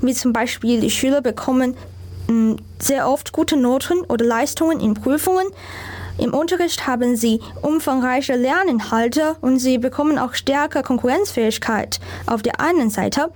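High-voiced young woman reading formal answers. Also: normal speed, a little mellow. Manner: careful, formal delivery with occasional hesitations. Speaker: student interviewee.

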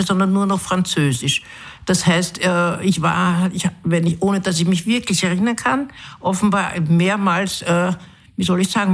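A woman with a somewhat low voice, a guest in an interview, answers in a thoughtful, searching way. She pauses often, restarts her sentences and uses fillers as she works out what to say. English was her first language. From born in London, raised in Austria.

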